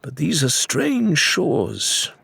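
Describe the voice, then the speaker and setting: A man voicing a measured pirate captain who reasons like an orator, for an audiobook. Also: fairly high pitch, huge pitch swings, vocal fry, a bit bright, husky, pitched above the performer's own voice, exaggerated voice. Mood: reasoned, challenging. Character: measured, pipe-smoking male pirate captain. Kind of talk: audiobook character voice.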